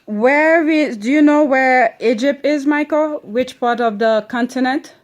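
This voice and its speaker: A woman's voice: high voice, measured pace. A woman with an accent reading a history book aloud to her children at home, stretching key words and stopping often with "okay?" to check they understand. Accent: non-standard English accent.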